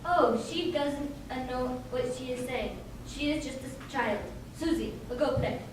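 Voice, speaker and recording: A woman's voice: high-pitched, measured pace. A woman playing a deferential, protective mother who works as a servant in an amateur radio play. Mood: guarded. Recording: very echoey room, distant mic, clear background noise.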